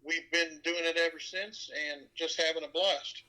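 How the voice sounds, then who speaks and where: A man's voice: fairly high pitch, steady tone, normal speed, pushed and bright, rough recording. A man with a nasal voice from West Virginia, chatting as an interview guest and rambling with many fillers. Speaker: guest, tribute band frontman. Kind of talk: casual interview chat.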